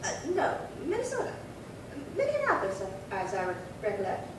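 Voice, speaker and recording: A woman's voice: wildly swooping pitch, moderate pace. A woman playing a chatty, opinionated elderly tenant in an old-time radio crime drama, rambling and fussy. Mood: reminiscing. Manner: rambling, fussy, indignant recollections. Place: live theatre stage reading. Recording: echoey hall, far-off mic, noisy rumble.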